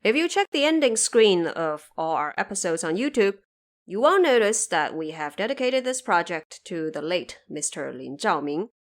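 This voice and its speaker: A woman delivering scripted podcast commentary as host, in an expressive, pointed and rhetorical manner. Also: lowish voice, moderate pace. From Cantonese-speaking region.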